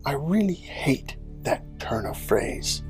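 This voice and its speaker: A man voicing a suave, devilish gentleman villain in a cartoon comedy, theatrical and drawling, with mock courtesy and a breathy, creaky voice. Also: on the high side, sing-song, slow, over music. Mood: smooth mock courtesy.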